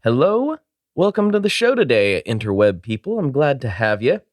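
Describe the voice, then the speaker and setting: A man's voice: medium pitch, big pitch swings, normal speed, dry recording, clean studio sound. A congested man hosting a casual podcast, rambling and apologetic as he gives updates and greets a guest. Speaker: podcast host.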